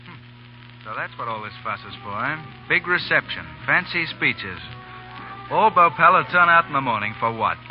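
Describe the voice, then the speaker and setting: An actor playing a brash, impatient army lieutenant, blunt and sarcastic, in a 1930s radio drama. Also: male voice, on the high side, animated, normal speed, over music, close to an everyday voice. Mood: sardonic. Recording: dull 1930s radio recording.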